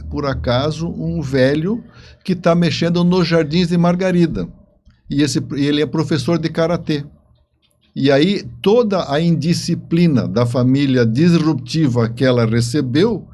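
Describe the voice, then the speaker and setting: A man's voice: a little high, warm tone. A man speaking as an interview guest, telling stories conversationally and repeating phrases for emphasis. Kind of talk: conversational storytelling.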